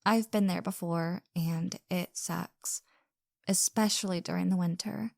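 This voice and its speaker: An actress plays a caring young woman who is shyly smitten, in an intimate audio roleplay. She takes her time, stammering softly and earnestly, with some vocal fry. The recording is close and dry. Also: fairly low pitch, steady tone, measured pace, dry recording. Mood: sympathetic, worried. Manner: stammering, hesitant, soft and earnest. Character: flustered, caring young woman hosting her secret crush.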